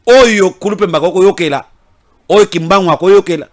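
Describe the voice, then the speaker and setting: A man from DR Congo, delivering a political commentary monologue, repeating phrases and swinging between loud declarations and low muttered asides. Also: normal speed. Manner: repeats words and phrases for emphasis.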